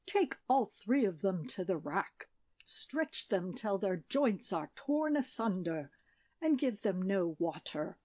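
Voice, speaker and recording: A woman voicing a furious, blustering king who barks loud commands. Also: mid-range voice.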